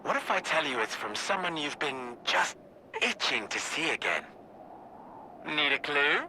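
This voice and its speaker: Sly military commander guy, super bright forceful voice, lively and wry, video game, bit of background noise. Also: fairly high pitch, a little slow, full character voice. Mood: teasing. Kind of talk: video game cutscene acting.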